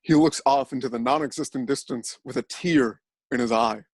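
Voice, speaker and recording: A man narrating an absurd story in a mock-dramatic, deadpan style. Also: medium pitch, moderate pace, no echo.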